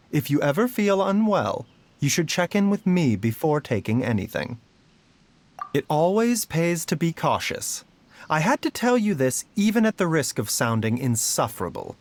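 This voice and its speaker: An actor playing a gentle, scholarly doctor in a fantasy game, speaking in a measured, polite and cautious way. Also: male voice, fairly high pitch, slight background noise. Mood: gently cautioning. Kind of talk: scripted game voice acting. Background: background music.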